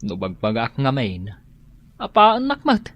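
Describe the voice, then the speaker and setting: A man's voice: mid-range voice, wildly swooping pitch, a little slow, muffled recording. A man playing a jealous, suspicious husband in a melodramatic radio drama, interrogating and scolding. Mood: suspicious, brooding.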